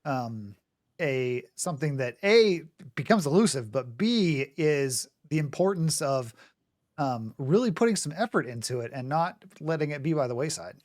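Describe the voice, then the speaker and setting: A man's voice: a little high, very animated, normal speed, darkish tone. A man hosting a business podcast, introducing his guest and asking long, winding questions in an upbeat way. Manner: upbeat, rambling questions with restarts. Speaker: podcast host.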